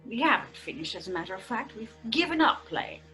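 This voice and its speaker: An actress with a British accent playing a sensible, faintly stern mother with a crisp manner in an old-fashioned radio drama. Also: a little high, big pitch swings, brisk, sharp tone, soft background music. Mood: dismissive. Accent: British English.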